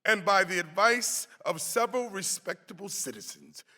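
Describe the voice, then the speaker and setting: A male actor plays a dignified 18th-century clergyman reading out a defense. His voice is bright and forceful, swinging widely in pitch, with formal, declamatory phrasing that rings through a reverberant hall. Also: lively intonation, normal speed, heavily acted. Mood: solemn, resolute. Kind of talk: dramatic reading of a historical pamphlet.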